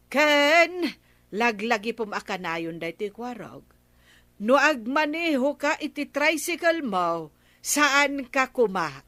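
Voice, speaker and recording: A woman's voice: high voice, sharp tone, dry recording, exaggerated voice. An actress with an Ilocano accent playing a scolding, emphatic village woman in a radio comedy drama.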